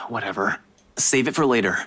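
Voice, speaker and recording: Woman putting on a very deep, husky young man. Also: measured pace, fairly bright tone, full character voice. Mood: curt, urgent. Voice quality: husky, lowered voice. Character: tense, curt young man in a visual novel.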